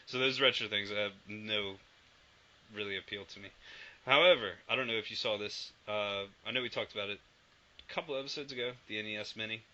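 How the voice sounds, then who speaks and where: A man's voice: fairly low pitch, fairly quick, bright, forceful tone. A man co-hosting a podcast in casual chat, rambling and swearing casually. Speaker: podcast co-host. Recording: dull lo-fi podcast audio with faint noise.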